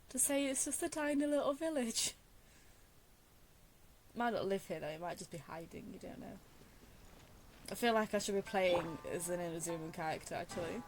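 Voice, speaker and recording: A woman's voice: mid-range voice, noisy background. Young woman rambling over a video game. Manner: rambling, thinking aloud. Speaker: gamer commenting on her playthrough. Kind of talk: casual let's play commentary.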